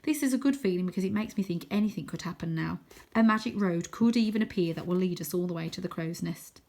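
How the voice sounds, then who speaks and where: A woman narrating a children's adventure book in an expressive, dramatic way, sometimes hushed for suspense. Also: lowish voice, quick pace. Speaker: story reader.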